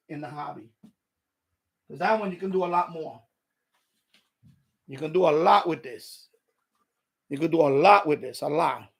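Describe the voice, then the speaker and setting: A man with a fairly high voice and a noticeable creak chats off the cuff as a livestream host. He is opinionated and emphatic, often saying the same phrase over again to press his point. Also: a bit bright. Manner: repeats himself for emphasis. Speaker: live stream host. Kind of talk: unscripted radio hobby livestream chat.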